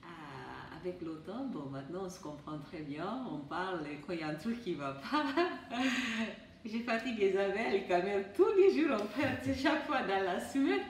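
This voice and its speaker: A young woman from Portugal, a resident of a youth housing residence, chatting lively about her life there. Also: medium pitch, moderate pace. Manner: chatty and lively, laughs easily. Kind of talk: testimonial interview. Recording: echoey room, distant mic, background noise.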